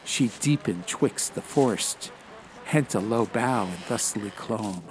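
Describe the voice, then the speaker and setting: A man's voice: on the low side, expressive, takes it slowly, a little hiss or hum, rough recording. A man narrating a fantasy audiobook in a dramatic, literary style. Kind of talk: scripted fantasy story narration. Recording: music behind the voice.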